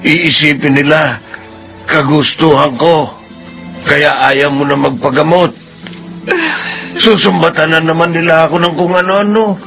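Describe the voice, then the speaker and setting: A man's voice: on the high side, fairly even intonation, a little slow, creaky, pauses often, bright, forceful tone, soft background music, full character voice. A man playing a troubled, pleading husband and father in a radio drama, speaking earnestly. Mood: pleading, distressed.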